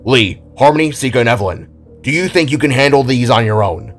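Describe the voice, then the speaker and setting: A man's voice: mid-range voice, lively intonation, brisk, a bit bright, soft background music, lightly acted. A man voicing a commanding, formal metal-bodied elder-brother warrior in a fantasy audio drama, grave and authoritative.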